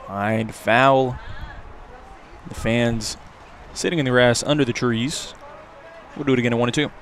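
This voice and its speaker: Male sports broadcaster, mid-range pitch, live baseball play-by-play, audible outdoor noise. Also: steady tone, a little slow, a bit bright. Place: outdoors at a ballpark. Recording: clear outdoor ambient noise.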